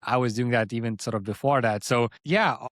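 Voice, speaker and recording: A man's voice: mid-range voice, fairly quick, no room sound, clean studio sound. A man in his thirties talking casually as an interview guest, often rephrasing mid-sentence as he explains himself. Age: 30s. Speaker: interview guest, agency founder.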